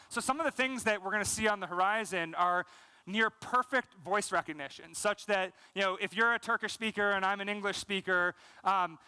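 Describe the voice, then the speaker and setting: A man's voice: high-pitched, clean tone, dry recording, rough recording. A man in his thirties with an American accent giving a conference keynote on a large stage, speaking fluently with frequent "um" and "you know" and gesturing as he talks. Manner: energetic, gesturing while speaking. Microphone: headset mic.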